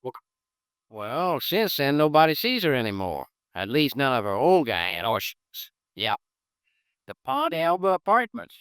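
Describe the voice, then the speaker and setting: Folksy informant, swooping intonation, smooth voice, dry sound. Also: male voice, mid-range voice, huge pitch swings, measured pace, fairly bright tone, dry recording. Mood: casual. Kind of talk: 1950s radio drama acting.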